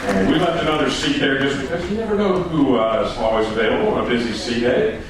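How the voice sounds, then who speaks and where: A man hosting a live event on stage, introducing guests in a ceremonious, halting announcer style. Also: mid-range voice. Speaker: cruise director hosting an officers' Q&A. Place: large indoor venue on a ship, before an audience. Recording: distant, very echoey noisy room with crowd.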